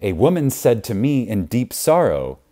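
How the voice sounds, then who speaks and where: A man narrating an audiobook in a reverent, emphatic manner with deliberate, dramatic pauses. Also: mid-range voice, sing-song, dark tone.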